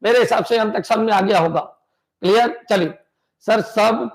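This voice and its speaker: A man teaching a computer science exam class, repeating points and quizzing his students with "clear?" as he drills them. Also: sits high, moderate pace. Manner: emphatic, pushes exam tips and motivation.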